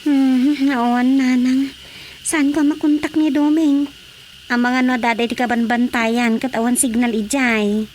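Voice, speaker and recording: A woman's voice: high-pitched, level tone, a little slow, darkish tone, a little hiss or hum, low-quality recording. A woman playing a caring young daughter in a radio drama. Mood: concerned.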